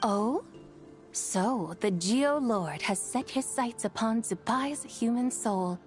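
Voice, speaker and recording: A woman's voice: on the high side, lively intonation, slow. A woman playing a haughty, sly, ancient female horse spirit in a fantasy game, with theatrical, archaic, teasing formal speech. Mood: probing. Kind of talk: fantasy game cutscene acting. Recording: music behind the voice.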